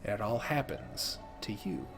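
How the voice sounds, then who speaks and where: A man's voice: lowish voice, sing-song, unhurried, gravelly, fairly bright tone. Theatrical, emphatic man over music. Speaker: video creator and host. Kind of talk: casual scripted-free game review commentary.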